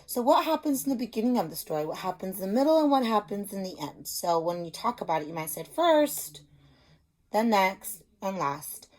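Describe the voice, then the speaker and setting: A woman's voice: mid-range voice, big pitch swings, normal speed, rough recording. A woman speaking as a reading teacher, explaining simply and reading a story aloud to children, stressing key words.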